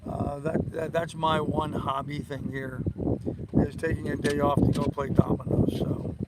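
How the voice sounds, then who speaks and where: An older man with a hoarse voice, hosting a rambling, casual live stream in a halting, repetitive way. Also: a little high, level tone. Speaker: live-stream host of a sailing channel. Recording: loud wind and boat noise.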